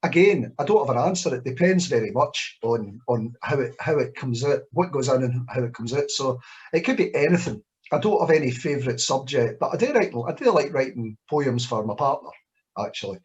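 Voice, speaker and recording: A Scottish poet, a man, speaks at an easy middle pitch. He is relaxed and self-deprecating and often stops and restarts. The sound is a little dull and lo-fi, as on a video call. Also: a little fast. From Scotland. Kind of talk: spoken word reading and Q&A.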